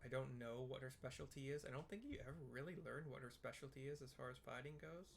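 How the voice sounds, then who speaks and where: A man's voice: medium pitch, quick pace. A man giving casual, hesitant and self-deprecating game commentary, rambling as he describes what is on screen. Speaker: video game streamer and narrator. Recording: clearly audible background noise.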